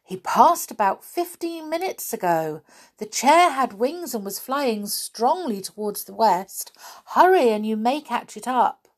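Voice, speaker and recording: Woman voicing high, eager little storybook fairies. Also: sing-song, a little slow. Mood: delighted. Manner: eager, welcoming. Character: chattering, friendly little fairy folk. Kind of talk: children's storybook character voice.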